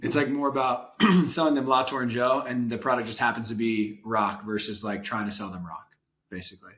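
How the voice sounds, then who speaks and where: A man hosting a podcast, relaxed and conversational, often murmuring agreement. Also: mid-range voice, a little fast. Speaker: podcast host. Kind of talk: casual interview conversation.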